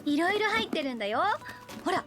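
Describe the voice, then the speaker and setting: A woman's voice: high voice, sing-song, fast talker, fairly bright tone, soft background music. An actress playing a carefree, teasing young female college student in a Japanese anime audio drama, talking breezily. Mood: cheerful, carefree. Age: young adult. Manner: breezy, casual, playful.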